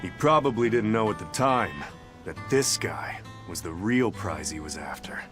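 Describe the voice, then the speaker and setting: An actor playing a brash male spirit and brawler in a game, talking in a rough, swaggering way. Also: lowish voice, lively intonation, moderate pace, music in the background, close to an everyday voice.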